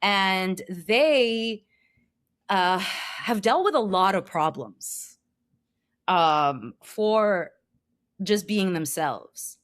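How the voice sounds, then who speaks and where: A woman on a podcast talking in an animated, emphatic way, with a lot of "like.". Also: medium pitch, extremely slow, clean tone, no room sound, studio quality. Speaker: perfumer guest. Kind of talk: casual podcast conversation.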